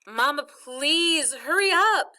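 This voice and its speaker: Woman as a high, frantic young boy. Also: animated, takes it slowly, heavily acted. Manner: urgent, shouting. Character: frantic young boy calling his family for help.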